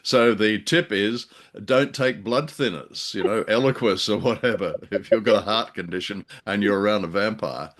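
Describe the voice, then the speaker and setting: A man's voice: fairly low pitch, moderate pace, few pauses. An older man hosting a radio show in casual review chat over a video call, rambling and hesitant with wry jokes. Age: older, around 70.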